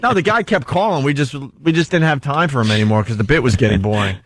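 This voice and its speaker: A man's voice: mid-range voice, fast talker, no echo. Guy hosting talk radio, casual jokey banter, irreverent, close dry mic. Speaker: radio talk show host. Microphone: close studio radio mic.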